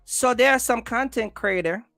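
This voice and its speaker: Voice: mid-range voice, moderate pace, vocal fry, a bit bright, dry recording, faint music behind. A livestream host talks casually in an emphatic, rambling way, often repeating phrases and restarting. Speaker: livestream host, content creator.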